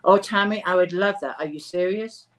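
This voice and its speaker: A woman's voice: on the low side, normal speed, lightly acted. A woman reader acts out an older British mother from a storybook, loving but fretful. She is chatty and eager, forever questioning her grown child. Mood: delighted.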